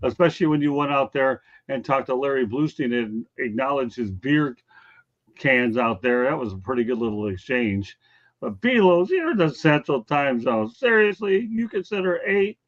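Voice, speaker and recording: A man's voice: mid-range voice, moderate pace, lo-fi sound. A man hosting a casual sports talk show, bluntly teasing and ranting at his co-hosts and viewers. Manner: blunt, teasing, addresses chat viewers by name.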